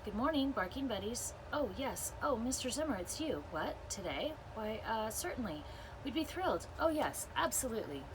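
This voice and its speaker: Woman reading as a flustered, gushing dog-daycare manager, pitched high. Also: big pitch swings, moderate pace, noisy background. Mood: eager, flustered. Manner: breathless, exclaiming, fussy, bossy-sweet. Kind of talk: children's book read-aloud.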